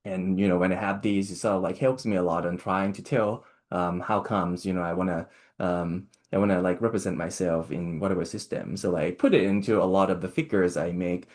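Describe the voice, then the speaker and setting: Young guy from thailand giving a science talk, deep voice, lots of ums and restarts. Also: steady tone, a little mellow. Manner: halting, many fillers and restarts. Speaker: postdoctoral researcher giving a talk. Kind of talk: online seminar talk.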